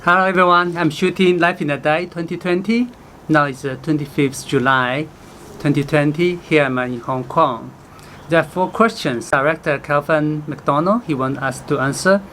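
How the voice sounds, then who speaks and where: A man from Chengdu with a Chinese accent, a travel vlogger talking casually to camera outdoors, halting and full of fillers. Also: on the high side, sharp tone, slight background noise. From Chengdu, China. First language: Sichuanese. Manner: chatty, halting, with frequent fillers and laughs. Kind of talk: casual vlog talk to camera. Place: outdoors in the city.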